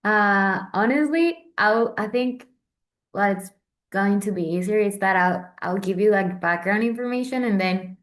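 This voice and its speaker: A woman's voice: medium pitch, a little slow. Chatty young woman. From Central America. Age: 20s. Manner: chatty, with many fillers and restarts. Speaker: Vatican correspondent. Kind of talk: casual informal talk.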